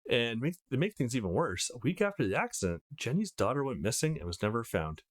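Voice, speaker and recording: Man playing an earnest young man, animated pitch. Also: fast. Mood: somber. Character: flustered eighteen-year-old young man, earnest and easily embarrassed. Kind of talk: visual novel character reading.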